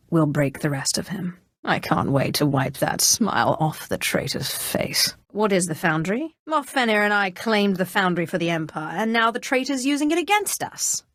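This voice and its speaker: A woman's voice: lowish voice, dry recording, studio quality. A woman voicing an ambitious, imperious female Sith in a video game, speaking formally and with haughty command. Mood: menacing, defiant. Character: ambitious, imperious female Sith warlord serving a galactic empire. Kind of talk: scripted video game character dialogue.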